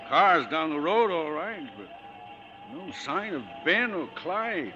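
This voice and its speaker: A man's voice: a little high, expressive, slow, sharp tone, faint music behind, exaggerated voice. An actor playing a stubborn, indignant old father in a 1940s radio drama, blustering and defiant. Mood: uneasy. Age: older. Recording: dull vintage 1940s radio recording.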